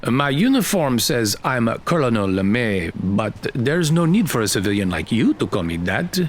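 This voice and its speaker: A man's voice: medium pitch, very animated, normal speed. A man playing a genial, probing middle-aged Air Force colonel in an audio drama, courteous and a little hesitant. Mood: friendly, amused.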